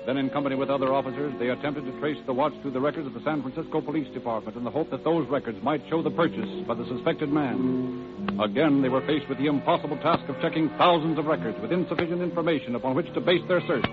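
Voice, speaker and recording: A man's voice: medium pitch, fast talker. A man narrating as the announcer of a 1930s radio drama, in a scripted style. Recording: tinny old radio, music behind. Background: music.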